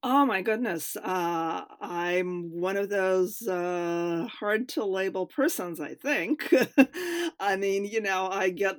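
A woman's voice: mid-range voice, expressive, takes it slowly, dry recording. A woman in her fifties from Russia, a writer answering interview questions hesitantly, with many fillers and laughs. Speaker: writer, guest.

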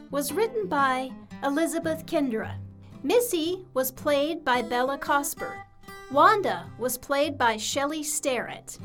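High, bright woman reading credits. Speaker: credits announcer. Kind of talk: scripted narration.

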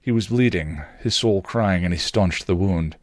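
Lowish-voiced man narrating a story. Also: moderate pace, dry recording, studio quality. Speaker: fan fiction reader on a podcast.

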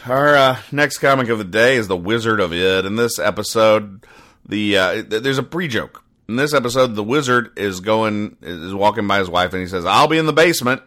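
A man's voice: on the low side, normal speed, fairly bright tone. A man hosting a casual comedy podcast, rambling and mock-exasperated as he reads and picks apart material. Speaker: podcast host. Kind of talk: casual comedic commentary, reading comic strips aloud.

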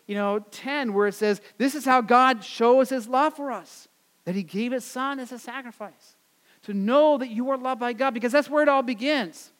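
American male pastor, high voice, earnest sermon delivery, echoing church hall. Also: a bit bright. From United States. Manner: earnest, repetitive emphasis, many fillers.

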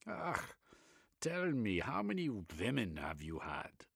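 A man voicing a flamboyant, pushy middle-aged German doctor with a German accent, excitable and lecturing. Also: fairly low pitch, takes it slowly, creaky, slightly rough, no echo, clean studio sound, pitched above the performer's own voice, exaggerated voice.

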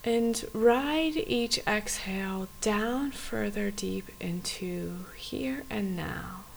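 Softly guiding woman, gravelly creak, faint hiss. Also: medium pitch. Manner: gentle, unhurried, invitational phrasing with pauses. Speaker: meditation guide. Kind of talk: guided body scan meditation.

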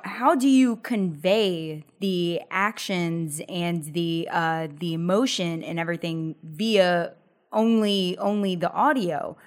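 A woman co-hosting a podcast, asking questions hesitantly with many fillers. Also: medium pitch. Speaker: podcast co-host. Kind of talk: casual chat.

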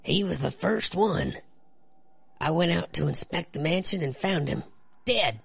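A man voicing a grizzled, grim small-town sheriff with a gravelly, raspy voice, telling a folksy tale in a comic-book radio play. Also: a little high, expressive, a little slow, dry recording, voice pushed up, heavily acted.

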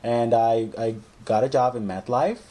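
A man's voice: mid-range voice, steady tone, normal speed, warm tone. Hesitant man, lots of "right?". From El Salvador. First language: Spanish. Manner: hesitant, restarts often, leans on "like" and "right?". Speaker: guest, English teacher. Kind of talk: casual interview chat.

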